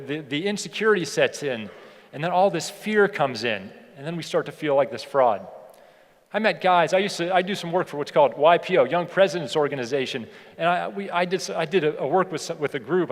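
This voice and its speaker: An American man delivers a motivational keynote in a somewhat high-pitched voice. He is conversational yet emphatic, often repeating his points. His words ring out with long reverb in a large hall. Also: expressive, fast. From United States (Pittsburgh). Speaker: keynote speaker, leadership educator. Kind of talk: motivational keynote speech with personal anecdotes. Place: large indoor hall, speaking to an audience.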